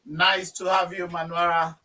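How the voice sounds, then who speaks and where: A man with a Ghanaian accent hosting a casual video interview, speaking slowly with frequent fillers and pauses. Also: sits high, little pitch movement, recorded from a distance, rough recording. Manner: warm, slow, hesitant with many fillers. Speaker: host.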